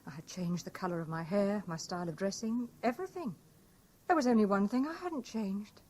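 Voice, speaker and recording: An actress with a British accent playing a defiant, pleading young lover, confessing emotionally in a radio drama. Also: female voice, medium pitch, brisk, a little mellow, close to an everyday voice. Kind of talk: BBC radio drama acting. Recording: hissy 1970s radio broadcast recording.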